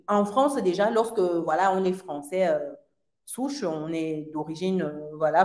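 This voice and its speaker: A woman giving an earnest personal testimony in casual talk, often laughing lightly between phrases and filling pauses. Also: mid-range voice, darkish tone. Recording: roomy echo.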